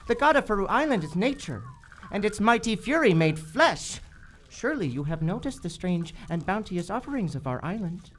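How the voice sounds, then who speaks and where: A woman playing a solemn, menacing island leader in live radio theater, measured and cryptic. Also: medium pitch, sing-song. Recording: live stage recording, faint background noise.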